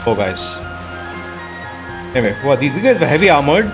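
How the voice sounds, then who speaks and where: A man's voice: medium pitch, very animated, fairly quick. A man giving casual let's-play commentary as a gaming host, thinking aloud in short questions. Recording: music behind the voice. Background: game music.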